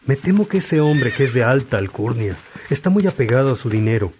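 A man acting as a kindly, wise bishop and judge in a radio drama, speaking in a measured, paternal, pastoral way. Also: mid-range voice, very animated, noisy background, close to an everyday voice. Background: music.

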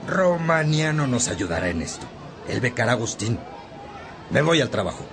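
A man's voice: medium pitch, sing-song, normal speed, vocal fry, crowd noise, noisy background. An actor playing a stern, determined father in a radio drama, speaking with emphatic authority. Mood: stern, insistent.

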